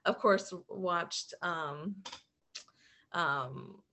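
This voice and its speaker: Woman rambling casually, slightly low voice. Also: extremely slow, sharp tone. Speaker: podcast co-host. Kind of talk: casual chat about shows and comics.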